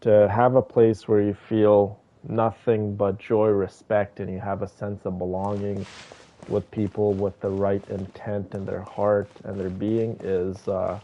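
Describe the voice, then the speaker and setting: A man's voice: lowish voice, flat delivery, a little slow, slight background noise. A man livestreaming a game with casual, chatty and effusive commentary, talking directly to his viewers. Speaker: game live streamer. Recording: dull, band-limited stream audio. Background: music.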